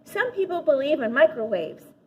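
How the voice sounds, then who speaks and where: A woman voicing a flustered schoolkid bluffing through a class speech in a storybook, halting and overbright. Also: high voice, sing-song, muffled recording, a little hiss or hum, voice pushed up. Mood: nervous bluffing.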